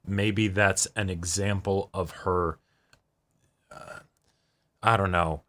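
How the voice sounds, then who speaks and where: A man's voice: low voice, steady tone, slow pace, a bit bright. A man co-hosting a casual anime discussion, thinking aloud in a tentative, speculative way. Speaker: co-host of anime reaction show.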